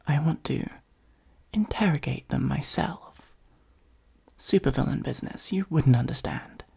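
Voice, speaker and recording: A performer voicing a theatrical supervillain in an ASMR roleplay, with a soft breathy delivery, pompous and sardonic. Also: mid-range voice, heavily acted. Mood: exasperated, sardonic. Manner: pompous monologuing, sardonic exasperated asides. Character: theatrical gloating supervillain, secretly fond of the hero.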